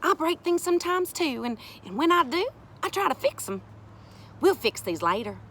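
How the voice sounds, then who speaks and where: Woman playing a gentle, high-voiced country woman. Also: very animated, moderate pace, a little hiss or hum, full character voice. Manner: reassuring, plain-spoken. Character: Sari, gentle, patient, folksy country woman.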